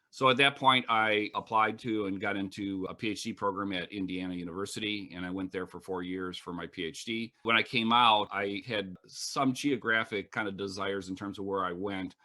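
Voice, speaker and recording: Bright-toned middle-aged male professor. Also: sits low. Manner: measured, matter-of-fact. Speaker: professor, interview guest. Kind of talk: reflective storytelling.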